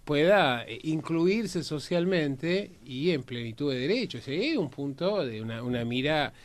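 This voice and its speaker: A man's voice: fairly high pitch, animated, unhurried. An Argentine man discussing history as a panelist, explaining emphatically and checking his points with short tag questions. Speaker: panelist on a history and politics programme.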